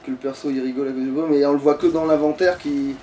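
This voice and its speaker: A man's voice: a little high, little pitch movement, rapid-fire, mellow. A man giving casual let's play commentary, thinking aloud in a grumbling, foul-mouthed way. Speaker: gaming streamer. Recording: distant mic with background noise.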